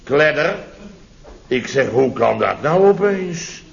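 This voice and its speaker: An actor playing a flustered, blustering married building contractor boss in a radio comedy serial, excitable and stammering, repeating himself. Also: male voice, medium pitch, slow pace, gravelly, full character voice. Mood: animated storytelling. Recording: muffled vintage radio recording.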